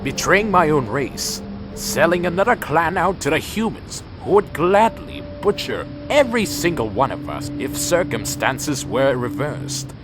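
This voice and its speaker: A man's voice: a little high, very animated, unhurried, over music. A man playing a proud, brooding vampire clan leader in an audio drama, speaking in a solemn, imperious, theatrical way. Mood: brooding, anguished.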